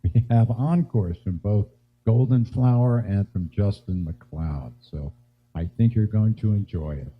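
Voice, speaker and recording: A man's voice: on the low side, slightly rough. A man casually hosting a live music show, introducing it and the acts. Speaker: host and musician. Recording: dull sound with music behind.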